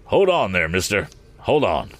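A man's voice: a little high, wildly swooping pitch, normal speed, a bit bright, pitched above the performer's own voice, exaggerated voice. A man voicing an older, good-natured country wagon driver in a storybook, cheerful and feisty, with folksy rustic speech. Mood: excited, friendly. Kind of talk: audiobook character acting.

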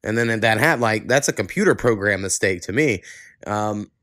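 A man's voice: lowish voice, expressive, normal speed, fairly bright tone, no room sound, clean studio sound. A man hosting a podcast in loose, informal chat, with a self-deprecating way of talking. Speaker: podcast host. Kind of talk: casual conversational podcast talk.

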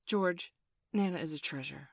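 A woman voicing a placid, tender mother in a storybook, speaking in a gentle, soothing manner. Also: low voice, normal speed, vocal fry, dry recording, studio quality. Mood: gentle reassurance. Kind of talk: storybook character voice.

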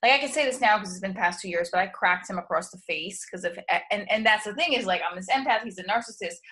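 A woman's voice: medium pitch, fast, sharp tone. A woman hosting a casual chat show, talking frankly and rambling with many restarts. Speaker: host and comedian.

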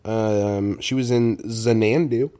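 A man's voice: fairly low pitch, steady tone, slow pace, warm tone, clear, resonant tone. A young man co-hosting a casual podcast, dry and joking, a little sick with the sniffles. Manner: dry, joking, matter-of-fact. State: slightly sick, sniffly and low-voiced. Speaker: podcast co-host. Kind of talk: casual chat.

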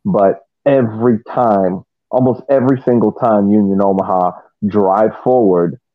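A low-voiced man gives casual tactical analysis on a sports podcast. He explains things in measured phrases, and the sound is thin and phone-like, with no room around it. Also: steady tone, dry recording. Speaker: soccer podcast co-host. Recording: dry, tinny phone-like line.

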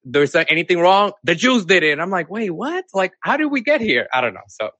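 A man's voice: high-pitched, big pitch swings, a little fast, a bit bright, no echo. A man from the Dominican Republic calling in to a talk show, speaking casually with many fillers and restarts. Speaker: caller.

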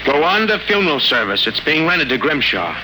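A sneering wise-guy hearse driver, his voice lively and a bit high as he talks back brashly. The old radio broadcast carries clear noise. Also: a little fast. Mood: surly.

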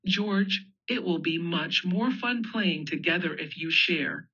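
A woman playing a gentle mother pig in a storybook, patiently explaining. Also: lowish voice, takes it slowly, breathy edge, full character voice. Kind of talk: storybook character voice. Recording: dull lo-fi audio.

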